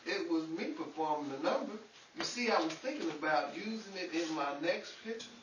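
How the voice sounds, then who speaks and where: Echoey n noisy, guy doing a eager wisecracking radio comedy sidekick, kinda high voice, always angling for a part. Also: lively intonation, fairly quick, natural voice. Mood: cheeky, wisecracking. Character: hapless, eager, wisecracking show-business hopeful, a man. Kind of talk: old-time radio comedy acting. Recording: echoey, distant, noisy amateur recording.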